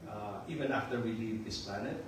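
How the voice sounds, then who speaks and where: An older man and pastor from the Philippines, with a Filipino accent touched by Australian, preaching a relaxed, chatty sermon with jokes. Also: on the low side, a little fast. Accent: Filipino with some Australian. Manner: joking and chatty, laughs often. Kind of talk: casual sermon. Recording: echoey far-off room mic with noise.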